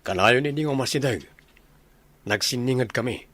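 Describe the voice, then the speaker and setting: A man's voice: mid-range voice, moderate pace, a bit bright, close to an everyday voice. A man playing a homesick young soldier in a radio drama, speaking earnestly and conversationally. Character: young soldier longing to go home.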